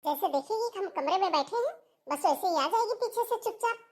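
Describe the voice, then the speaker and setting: Woman acting high-voiced, nagging older mother-in-law. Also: lively intonation, a little fast. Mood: scolding. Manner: sharp, bossy, nagging. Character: scolding, taunting older mother-in-law and aunt. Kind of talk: Hindi cartoon family drama acting.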